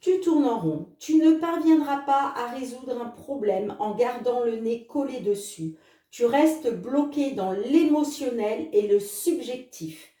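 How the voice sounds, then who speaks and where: A woman giving an oracle card reading to her viewers, talking in a chatty, friendly flow with many filler words. Her voice sits a little high and sounds mellow. She is set back from the mic in a roomy space. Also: expressive, far from the mic. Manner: chatty, fills with "hein" and "les amis"; reads card messages slowly. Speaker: tarot card reader.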